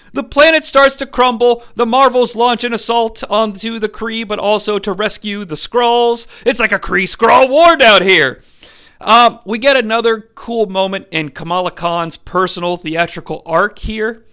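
A young man hosting a podcast, giving a sarcastic, animated comedic film review with some swearing. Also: sits high, clean tone. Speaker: podcast host.

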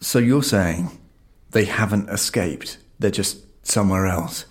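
A male investigator with a slightly low voice. He sounds out of his depth, puzzled and flustered, firing off questions in an audio drama. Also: unhurried. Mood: confused, alarmed.

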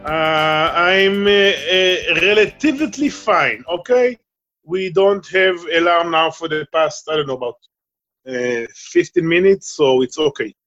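A middle-aged Israeli man with a Hebrew-speaking background, speaking halting English with many fillers as he answers interview questions. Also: on the high side, a bit bright, highs cut off, soft background music. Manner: halting, searching for English words, frequent fillers. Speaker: guest. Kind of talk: remote interview conversation.

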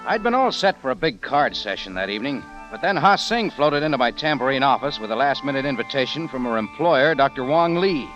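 An actor playing a wry, easygoing American cafe owner and adventurer in a 1950s radio drama, with casual noir-style delivery. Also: male voice, medium pitch, animated, brisk, few pauses, a bit bright, dull audio, natural voice. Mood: intrigued, narrating. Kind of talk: 1950s radio drama acting, first-person noir narration. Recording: vintage radio with music behind.

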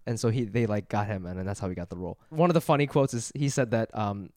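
A man's voice: on the low side, quick pace, darkish tone, no room sound, studio quality. A man in his thirties co-hosting a comedy podcast, chatting casually, swearing freely and laughing often. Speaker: podcast co-host.

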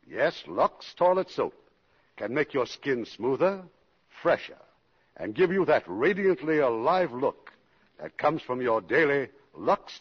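Casting: male producer-host of vintage radio, formal script delivery, lively pitch, slightly rough voice, muffled old recording. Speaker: producer and host introducing the show.

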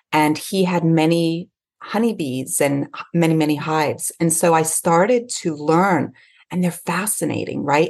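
A woman's voice: sits low, gravelly, darkish tone. A woman raised in Switzerland and England, an effusive podcast guest talking about her life. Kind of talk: podcast interview.

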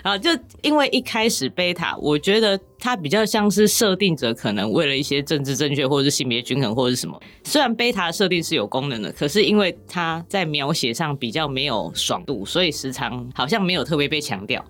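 A woman's voice: deep voice, expressive, brisk, hardly any pauses, a bit bright. A woman with a Taiwanese Mandarin accent explaining things casually as a podcast guest, with wry, rambling humour.

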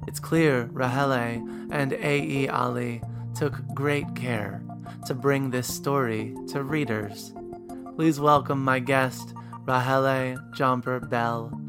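A woman's voice: rumbling low, fairly even intonation, slow, over music. A woman hosting an interview podcast, introducing her guest and praising her work with enthusiasm. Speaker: podcast host.

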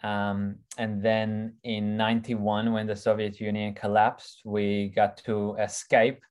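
A man in his thirties, from Ukraine and raised in Israel and Australia, with a mixed, hard-to-place accent, talking in a relaxed, easygoing way as a podcast guest. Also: lowish voice, takes it slowly, smooth. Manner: relaxed, easygoing, many ums. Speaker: guest, runner. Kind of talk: casual interview chat.

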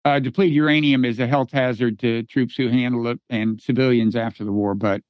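A man's voice: mid-range voice, fairly even intonation, a little fast, clean tone, no echo. A man in his sixties with a nasal, slightly whiny voice, co-hosting a casual political chat and trading dry, teasing banter. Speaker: podcast co-host. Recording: dull lo-fi remote audio.

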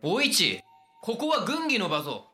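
Honorable warlord facing defeat, notably high male voice, commanding archaic delivery, period radio drama. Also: wildly swooping pitch, unhurried, pushed and bright, soft background music, exaggerated voice. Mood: stern. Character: proud, honorable samurai lord resigned to defeat. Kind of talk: Japanese period audio drama acting.

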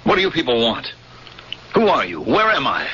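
An actor in a radio drama playing a bewildered but defiant space pilot held prisoner. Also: male voice, medium pitch, huge pitch swings, normal speed, bright, forceful tone, faint music behind. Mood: bewildered, indignant. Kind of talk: radio drama acting with first-person narration. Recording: noisy, muffled old radio broadcast recording.

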